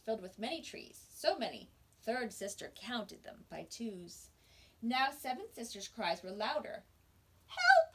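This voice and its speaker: A woman teacher reading a picture book aloud to young children with expressive storytelling and dramatic pauses. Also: a little high, slightly rough. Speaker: kindergarten teacher.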